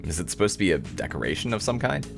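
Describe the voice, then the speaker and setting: A man voicing a jaded, sardonic newcomer protagonist in a visual novel, deadpan and unimpressed. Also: sits low, racing, vocal fry, over music, full character voice.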